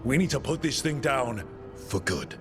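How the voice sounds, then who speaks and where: An actor playing a steady, resolute team leader in a fantasy video game, measured and commanding. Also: male voice, medium pitch, lightly acted. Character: steady, resolute male hero leading a team. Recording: music behind the voice.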